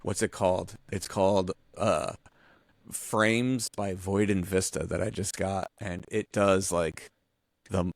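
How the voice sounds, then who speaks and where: A man's voice: low voice, steady tone, slow, vocal fry, no echo. A man co-hosting a podcast, brainstorming casually in loose, slangy, sweary language. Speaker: podcast co-host.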